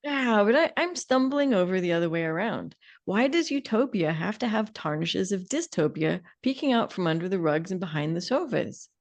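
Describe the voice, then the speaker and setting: A woman's voice: mid-range voice, expressive, normal speed, hardly any pauses. Female co-host, mid-range voice. Casual discussion, playful and colourful turns of phrase. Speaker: podcast co-host.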